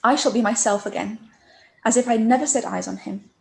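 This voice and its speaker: A woman playing a gentle, soft-spoken young woman. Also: fairly high pitch, brisk, gravelly, low-quality recording. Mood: restrained. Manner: soft and restrained. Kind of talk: live-read radio play.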